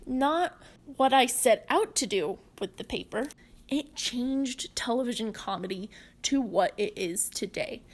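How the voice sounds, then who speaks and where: A woman presenting a prepared explanatory narration in a measured, deliberate way. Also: a little high, lively intonation. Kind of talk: prepared video-essay narration on comedy history.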